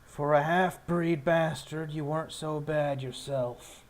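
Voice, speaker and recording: Guy doing a giant gruff-tempered pit fighter in a tabletop rpg, few words, blunt, grudging respect. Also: fairly high pitch, steady tone, takes it slowly. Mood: gruffly respectful. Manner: terse, blunt.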